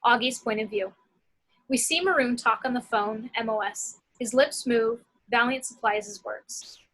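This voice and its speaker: Female narrator for screenplay action lines, bright and forceful with some rasp. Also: fairly high pitch, unhurried, poor audio. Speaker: action-description reader.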